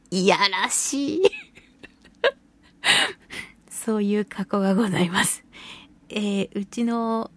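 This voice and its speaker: Woman radio host with a slightly husky voice. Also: mid-range voice, wildly swooping pitch, extremely slow, long pauses, fairly bright tone. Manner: self-deprecating and giggly; acts out little quoted remarks while storytelling. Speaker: radio talk show host; voice actress. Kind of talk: casual solo radio chat, telling personal anecdotes.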